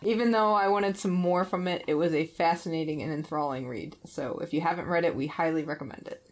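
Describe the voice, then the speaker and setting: A woman's voice: deep voice, fairly quick. A woman hosting a podcast in a casual, conversational style, chuckling often as she talks. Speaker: podcast host.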